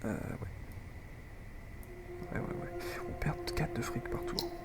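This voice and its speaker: A man's voice: very high-pitched, huge pitch swings, takes it slowly, creaky, whispered. A man with a French accent who has a cold, commenting casually on his strategy game as he plays and chatting with viewers. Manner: rambling, chatty, talks to viewers while playing. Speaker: gaming video host. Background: loud game music.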